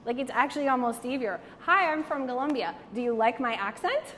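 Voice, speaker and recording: A woman with a slightly high voice speaks to a live audience, candid and playful, peppering her advice with "like". The sound rings in a reverberant hall with faint background noise. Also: brisk. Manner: candid, joking, animated, frequent "like". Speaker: networking speaker. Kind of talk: lively talk to an audience, taking questions.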